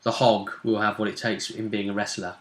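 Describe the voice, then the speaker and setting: A man's voice: fairly low pitch, level tone, fairly quick, roomy sound. A man chatting casually as host about a tabletop role-play game, musing aloud and often prompting his co-host with questions.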